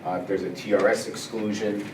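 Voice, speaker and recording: Guy explaining tax levy stuff at a board meeting, kinda low voice, far off mic, lots of room echo and noise. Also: steady tone. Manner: measured, explanatory, with frequent uh and um. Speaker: school administrator presenting budget. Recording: echoey room picked up from afar, noisy.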